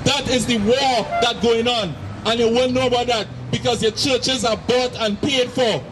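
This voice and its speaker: A middle-aged man with a Trinidadian accent, preaching forcefully outdoors into a handheld mic and repeating his points. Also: very high-pitched, steady tone, normal speed. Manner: forceful, repetitive, shouting at times. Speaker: street preacher. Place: city street outdoors, crowd around. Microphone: handheld mic through a loudspeaker. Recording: noisy street with crowd.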